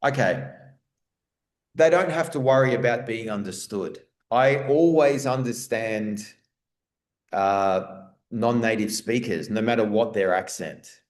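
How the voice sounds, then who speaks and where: An Australian man answering webinar questions in a relaxed, rambling way, restarting his sentences often. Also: mid-range voice, takes it slowly. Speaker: guest speaker. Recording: roomy echo.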